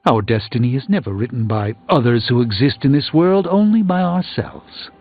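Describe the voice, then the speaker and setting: An actor playing a learned, reassuring sorcerer in a video game, speaking in a formal, measured, philosophical way. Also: male voice, medium pitch, expressive. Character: grave, learned, reassuring adult male sorcerer. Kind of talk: scripted video game character dialogue. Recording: tinny band-limited audio with music.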